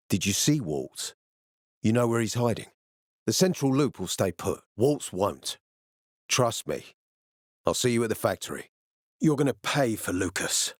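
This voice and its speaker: Game role: gruff military commander, suspicious, curt and threatening, profane streak, recorded dry. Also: male voice, mid-range voice, dry recording. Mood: urgent, serious, then hostile. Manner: terse, menacing, sardonic, profane. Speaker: video game voice actor. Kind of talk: video game character dialogue.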